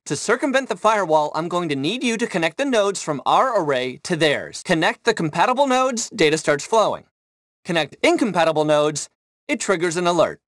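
Video game tech boss: self-important and demanding, very animated pitch, dry studio sound. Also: male voice, no echo. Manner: self-important, curt, bossy. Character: pompous, demanding tech-mogul man bankrolling a heist.

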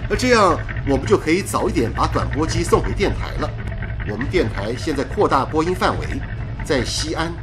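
An actor playing a polite, resolute wartime radio station worker in a radio drama, earnest and courteous. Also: male voice, fairly high pitch, lively intonation, fairly quick, music in the background, lightly acted. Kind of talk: Mandarin radio drama acting.

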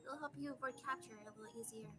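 Comic dub: weary, guarded female rabbit creature, woman performer, high voice, music behind. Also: fairly even intonation, racing, natural voice. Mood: weary, practical.

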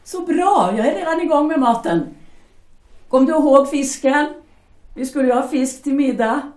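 An actress playing a cheerful young wife at home, chatty and bright. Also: female voice, sits high, animated. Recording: roomy echo, voice set back from mic.

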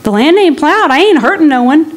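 A woman reader plays a hungry migrant farmer man from a novel, desperate for land. The voice rises high above plain narration, with folksy country wording and an impassioned, pleading manner. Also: very animated, a little fast. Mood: defensive. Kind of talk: storybook character in a literary reading.

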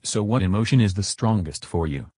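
A man's voice: fairly low pitch, animated, a little fast, darkish tone, breathy edge, dry recording, clean studio sound. A man voicing an earnest, agreeable, curious chatbot companion in a reenacted interview.

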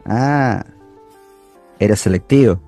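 A man's voice: fairly low pitch, extremely slow, mellow, faint music behind. A man playing a wry, teasing writer in a radio drama. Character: sickly, teasing male writer in quiet night conversation.

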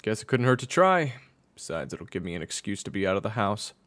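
A man's voice: fairly low pitch, big pitch swings, brisk, a bit bright, pitched above the performer's own voice. A man voicing a competitive teenage boy athlete in a storybook, curt, cocky and laconic. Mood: nonchalant.

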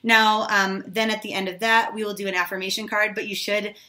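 A woman in her thirties, slightly hoarse from losing her voice, giving a casual, chatty tarot reading straight to viewers. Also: medium pitch, flat delivery, fairly quick, sharp tone. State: recovering from a lost voice, slightly hoarse. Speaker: tarot reader and video host.

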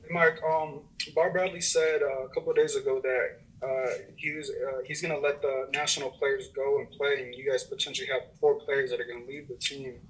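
A man asks direct, probing questions as a sports reporter. His voice is set back from the microphone at a middle pitch. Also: flat delivery, fairly quick. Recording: voice from far off the mic.

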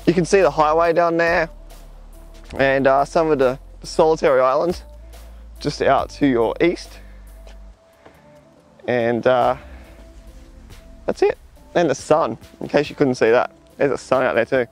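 A young Australian man vlogging casually outdoors at the seaside, chatty and relaxed, filmed by a camera a few metres off. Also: medium pitch, a little slow, long pauses, soft background music. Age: young adult. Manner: chatty, relaxed, filler-heavy, gestures while walking. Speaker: travel vlogger. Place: outdoors at a sunny seaside lookout, jetty and beach. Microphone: camera on a tripod a few metres away.

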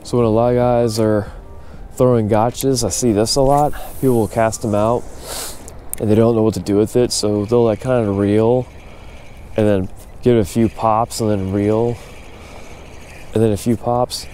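A man's voice: on the low side, normal speed, pauses often, a little hiss or hum. A young man talking casually to an action camera while fishing from a kayak out on the open sea, explaining his technique. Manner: excitable, chatty, explains technique. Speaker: fishing vlogger.

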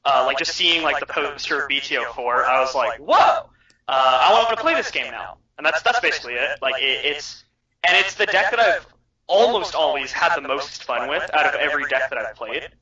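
Young man, very bright tone, easygoing casual chat; distant, echoey. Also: fairly high pitch, expressive, a little fast. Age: young adult. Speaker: co-host. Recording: echoey room, distant mic, dull sound.

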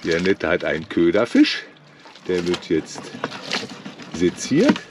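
A man's voice: huge pitch swings, slow. A man in his sixties narrating a casual sailing vlog from a boat in a lagoon, teasing his partner as he films. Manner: wryly teasing, swings widely in pitch. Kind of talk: casual running commentary while filming. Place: outdoors on a sailboat in a lagoon. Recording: outdoor wind and water noise.

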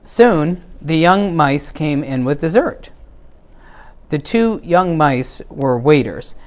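A middle-aged man reads a children's story aloud in a measured, expressive way. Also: a little high. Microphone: lapel mic.

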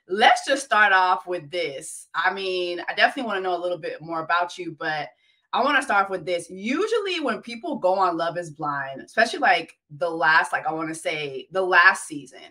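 A woman interviewing a guest in a playful, chatty way. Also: fairly low pitch. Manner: playful, expressive questioning. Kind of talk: casual interview chat.

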